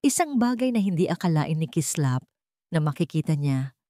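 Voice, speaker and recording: A woman's voice: low voice, big pitch swings, moderate pace, warm tone, no echo, studio quality. A woman reading a scripted dramatic story as its narrator.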